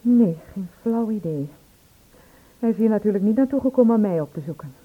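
A woman's voice: medium pitch, animated, fairly quick, lightly acted. An actress playing an anxious woman in a radio play, speaking in a hesitant, confiding way. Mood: shaken, worried. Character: anxious, confiding woman, shaken witness to a murder. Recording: tinny, narrow-band old radio recording.

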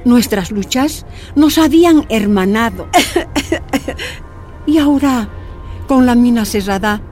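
An ailing older woman, a miners' leader in a radio drama. Her voice is high and swings widely in melody, with slow, deliberate phrasing. She sounds warm, plaintive and resolute.

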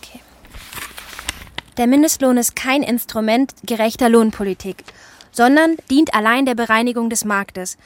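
A woman's voice: on the high side, no room sound, natural voice. An actress playing an unsure nineteen-year-old postwoman in a radio drama, hesitant and dutifully rehearsed. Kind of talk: naturalistic radio drama acting.

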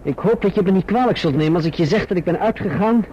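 An earnest, proud young gentleman. He speaks frankly and emphatically, in the well-spoken manner of radio drama. The old recording sounds muffled. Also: high-pitched, fast talker, slightly rough. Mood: curt, irritated.

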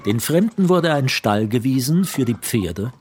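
A man reading scripted literary narration for a radio play, measured and articulate. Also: medium pitch, animated, heavy background noise.

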